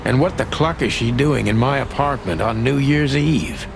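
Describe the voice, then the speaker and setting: An actor playing a weary, cynical aging rooster detective in a noir game, gravelly and deadpan. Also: male voice, medium pitch, moderate pace, noisy background, lightly acted. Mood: wry, brooding. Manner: world-weary, deadpan, hardboiled. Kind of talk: noir first-person narration. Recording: studio voice under loud music.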